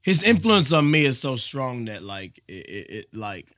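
A man in his thirties, a guest rapper, talking in a casual interview with excitable, stumbling restarts. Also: medium pitch, a little slow. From New York, USA. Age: 30s.

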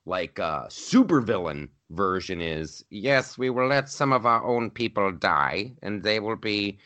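A man co-hosting a podcast, in animated political chat, often repeating himself and mocking others in put-on voices. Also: on the low side, unhurried. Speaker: podcast co-host.

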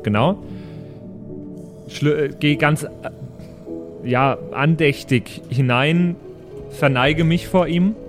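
Slow-talking, higher-voiced male tabletop player. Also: over music. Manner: hesitant, repeats himself. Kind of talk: casual improvised game chat.

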